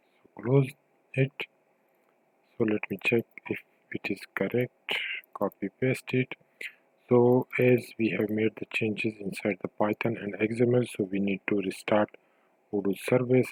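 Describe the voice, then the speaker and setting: Man, lowish pitch, dry close sound, step-by-step coding tutorial, halting phrasing. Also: husky, dry recording, low-quality recording. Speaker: software developer giving a tutorial. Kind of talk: screencast software tutorial, explaining step by step.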